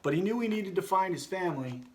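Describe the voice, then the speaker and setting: A man with a somewhat high voice hosts a read-aloud. His delivery is animated and expressive, with an informal, conversational feel. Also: fast, a little hiss or hum. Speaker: story reader and host. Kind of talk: animated children's read-aloud, then casual chat.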